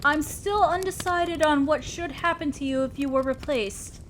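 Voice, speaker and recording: A woman plays an imperious demon lord of hell with a distinctly high feminine voice. She is commanding and condescending, an exacting boss in an audio roleplay. Clear background noise and ambience sit behind her. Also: normal speed, lightly acted, rough recording. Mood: stern, threatening. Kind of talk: scripted roleplay audio drama monologue.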